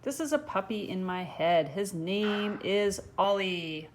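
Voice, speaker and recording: Female storyteller, mid-pitched, conversational children's read-aloud with chatty asides; homemade recording with some background noise. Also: takes it slowly. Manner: conversational, asks the listener direct questions. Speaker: storyteller reading a picture book to children.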